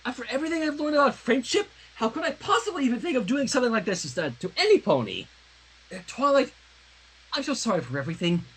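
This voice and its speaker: Someone doing a studious pony princess in a story, husky voice, swings all over, lecturing and earnest. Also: medium pitch, big pitch swings, fast, a bit bright, voice pushed up, full character voice, poor audio. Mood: remorseful.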